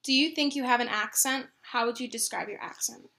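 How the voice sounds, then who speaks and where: Female interviewer, crisp bright tone on the higher side, reading set questions. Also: a little fast.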